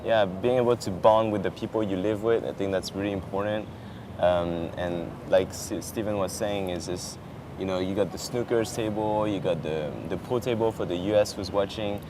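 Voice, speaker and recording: A man's voice: fairly low pitch, fairly even intonation, normal speed. A young man with French as his native language, an exchange student chatting casually outdoors on a campus lawn, full of fillers and restarts. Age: young adult. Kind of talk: casual chat. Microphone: camera at a distance. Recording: outdoor traffic noise behind.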